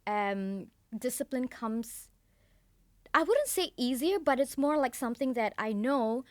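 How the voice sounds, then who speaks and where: A young woman from Singapore with a fairly high voice speaks as a guest on a podcast. She is earnest and upbeat and stacks her points into lists. The sound is dry and close, with little room sound. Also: animated, unhurried, darkish tone, dry recording. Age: young adult. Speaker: guest sales coach. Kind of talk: podcast interview.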